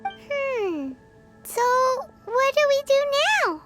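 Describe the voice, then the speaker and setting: A tiny fairy game companion with an extremely high, childlike squeak. She is excitable and blunt, exclaiming in a bouncy melody, and takes her time over the words. Music plays clearly behind her. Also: drawn-out words, heavily acted. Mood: puzzled. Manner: speaks of herself in third person, exclamatory. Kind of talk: video game voice acting.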